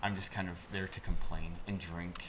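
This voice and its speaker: A man at a tabletop game, rambling and halting as he describes his character. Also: low voice, little pitch movement, over a noisy crowd. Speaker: player.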